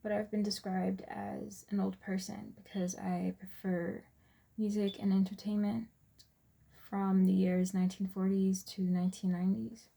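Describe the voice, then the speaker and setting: A woman in her twenties with an American accent, giving a prepared class presentation in a measured, point-by-point manner. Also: medium pitch, level tone, vocal fry, mellow, breathy edge. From US Virgin Islands and Texas, USA. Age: 20s. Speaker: student presenter.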